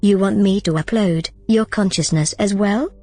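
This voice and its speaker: A woman's voice: medium pitch, normal speed, warm tone, lightly acted. A woman playing a bold, persuasive artificial consciousness in an audio drama, confident and conversational. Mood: confident, scheming. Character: bold, persuasive female artificial consciousness plotting her escape. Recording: music under the dialogue.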